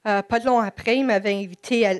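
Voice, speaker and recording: A woman with a Quebec French accent, English-speaking by upbringing, giving a halting, shy personal faith testimony at a church microphone. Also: mid-range voice, fairly even intonation, no echo, studio quality. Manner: halting, shy, many restarts. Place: church service. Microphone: microphone before an audience.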